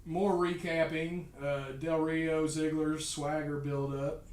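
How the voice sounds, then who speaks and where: A man's voice: on the high side, little pitch movement, takes it slowly, darkish tone. Hesitant man in an echoey room. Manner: hesitant, many fillers and restarts. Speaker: podcast co-host. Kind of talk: casual wrestling chat. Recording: echoey room, distant mic.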